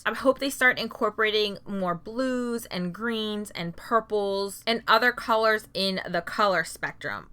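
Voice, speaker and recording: A woman's voice: mid-range voice, bright, forceful tone. A young woman vlogger giving an upbeat, detailed product demo and review, often saying "like". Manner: upbeat, descriptive, says "like" often. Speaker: beauty vlogger.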